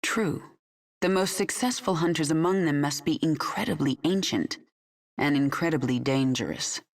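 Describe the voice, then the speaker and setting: A woman's voice: deep voice, moderate pace. Defiant, vengeful warrior queen. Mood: watchful. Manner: commanding, sardonic, resolute. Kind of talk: video game cinematic acting. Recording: music clearly behind the voice.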